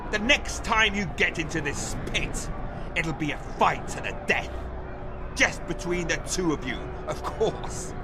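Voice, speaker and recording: An actor playing a cruel, gloating master of a fighting pit in a fantasy video game, with a menacing, taunting, theatrical delivery. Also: male voice, high voice, noisy background, full character voice.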